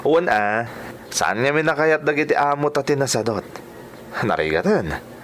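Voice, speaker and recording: An actor playing a carefree, coaxing migrant worker and secret lover in a radio drama, casual, with a Filipino accent. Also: male voice, mid-range voice, expressive, normal speed, a bit bright, slight background noise, natural voice. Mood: easygoing, coaxing.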